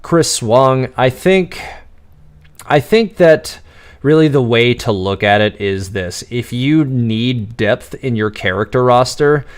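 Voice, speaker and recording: A man hosting a live-stream Q&A, answering chat questions in an exuberant, radio-like way and enunciating words crisply despite quick restarts. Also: mid-range voice, animated. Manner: talks fast with frequent restarts and laughs.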